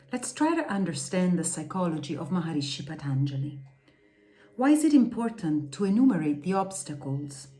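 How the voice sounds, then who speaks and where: Woman, slightly low pitch, scripted instructional reading, measured, deliberate delivery. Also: dark tone. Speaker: reader of a yoga philosophy text.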